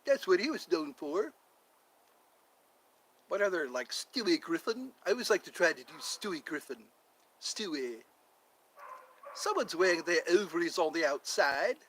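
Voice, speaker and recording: A middle-aged man rambles through a casual vlog, joking and trying out impressions. His voice sits on the high side for a man and swoops around a lot. The tone is bright and a little edgy. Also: lively intonation, moderate pace, pauses often, low-quality recording. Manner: rambling, jokey, tries out voices. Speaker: vlogger.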